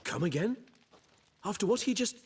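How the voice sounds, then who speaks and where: An actor playing a courteous, eloquent gentleman in a fantasy game, measured and formal. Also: high voice, lively intonation, husky, full character voice. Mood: startled. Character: courteous, thoughtful gentleman companion, eloquent and conciliatory. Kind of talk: video game cutscene acting.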